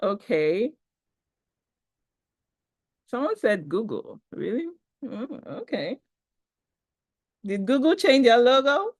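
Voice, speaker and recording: A woman's voice: fairly high pitch, big pitch swings, slow, pauses often, no echo. A Nigerian woman presenting an online talk on a video call, chatty and upbeat, reading the chat as she goes. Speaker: webinar presenter.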